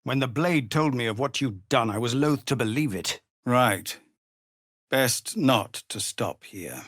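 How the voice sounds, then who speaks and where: An actor playing a weary, dutiful leader of refugee outcasts in a video game, speaking formally and earnestly. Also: male voice, medium pitch, animated, a little slow, a bit bright, dry recording, studio quality. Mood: grave, weary. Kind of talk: dramatic video game voice acting.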